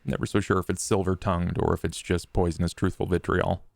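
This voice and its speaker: Man playing a very gravelly, courtly vampire schemer. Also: low voice, little pitch movement, dry recording. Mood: grave, measured. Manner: formal, measured, deliberate. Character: grave, courtly male vampire, dryly self-deprecating schemer. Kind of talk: tabletop roleplay in character.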